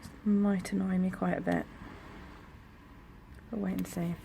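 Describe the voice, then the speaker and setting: A young woman with a croaky, gravelly voice and a lowish pitch talks in a relaxed, rambling way while she decorates her planner. She records at home, and faint traffic hum sits under her voice. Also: unhurried. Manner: chatty, rambling, soft-spoken. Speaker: planner vlogger. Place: home room near a busy road. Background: traffic noise.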